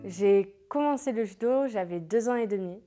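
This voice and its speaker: A woman's voice: medium pitch, moderate pace, music in the background. A young woman in her twenties from France, a para-judo athlete, giving cheerful interview answers. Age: 20s.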